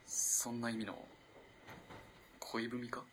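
An actor playing an earnest, lovestruck young student in an early twentieth-century Japanese radio play, halting and moving between hushed and impassioned delivery. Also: male voice, mid-range voice, completely flat delivery, a little hiss or hum. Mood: hushed, tender. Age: young adult. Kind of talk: dramatic radio play acting.

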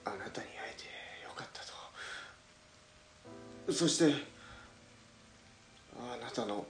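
A man playing a gravely wounded, polite and loyal gangster's aide in a radio drama, gasping weakly in halting, broken phrases. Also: mid-range voice, lively intonation, roomy sound, music in the background. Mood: dying, earnest.

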